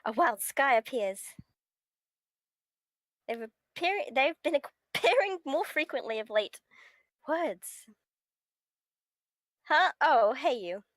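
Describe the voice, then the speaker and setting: A woman's voice: high-pitched, expressive, slow pace, pauses often, no room sound, studio quality. A woman reading visual novel text aloud in a casual, amused, chatty way on a stream.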